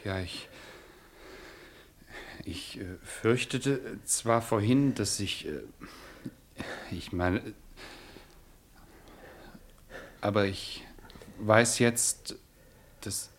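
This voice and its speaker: Slow, husky, awkward young suitor. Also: male voice, fairly low pitch, heavily acted. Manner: hesitant, halting, turning bluntly mocking. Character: awkward young man, a jealous, blunt rival suitor. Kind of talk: 1960s German radio play acting.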